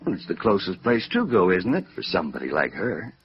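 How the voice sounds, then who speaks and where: A man's voice: low-pitched, wildly swooping pitch, brisk, full character voice. A man acting a gossipy yet guarded American apartment manager in a 1950s radio drama, wisecracking in everyday slang. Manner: colloquial, wisecracking. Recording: muffled vintage radio recording.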